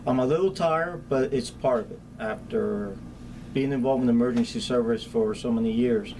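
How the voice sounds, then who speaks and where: Middle-aged man, Spanish accent, mid-pitched voice, plain-spoken, practical interview answers, ambient noise behind. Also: normal speed, rough recording. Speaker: county hazardous materials chief being interviewed. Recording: clear steady background ambient noise.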